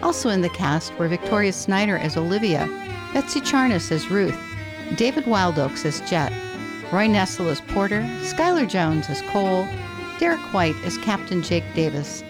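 Woman announcer over loud theme music. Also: medium pitch, big pitch swings, moderate pace. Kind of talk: scripted radio drama credits announcement.

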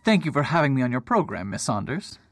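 A man's voice: medium pitch, very animated, quick pace, gravelly, fairly bright tone, no echo. A man playing a proud, pompous detective and academic in a radio drama, with precise, wordy delivery. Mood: self-assured.

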